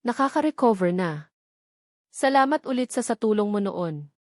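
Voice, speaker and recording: A narrator plays a tender, anxious young woman in a love story audiobook. She has a Filipino accent and a soft, tentative delivery with a slight tremble, in a dry recording. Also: mid-range voice, expressive, normal speed, dry recording, lightly acted. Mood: awkward. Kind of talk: romantic audiobook character dialogue.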